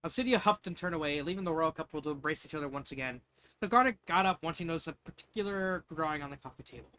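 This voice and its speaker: A man's voice: on the high side, quick pace, pushed and bright, clear, resonant tone. A man reading a story aloud in a loose, jokey way, often breaking off into casual asides. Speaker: fanfiction reader.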